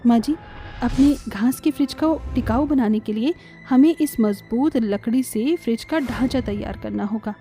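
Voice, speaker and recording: Woman playing a high-voiced earnest poor mother. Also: moderate pace, warm tone, faint music behind, lightly acted. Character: poor, hardworking, distressed young mother.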